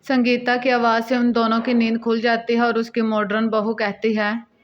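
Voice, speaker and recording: Even, high-voiced woman storyteller, dull sound. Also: normal speed. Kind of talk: scripted cartoon story narration.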